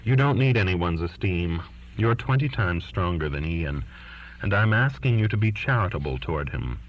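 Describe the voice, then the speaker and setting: An earnest twenty-year-old daughter as a male audiobook reader voices her pleads her case to her father, defiant and insistent. The voice is low-pitched, and the recording is muffled and lo-fi. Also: lively intonation, moderate pace, a little hiss or hum. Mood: appealing. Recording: dull lo-fi recording.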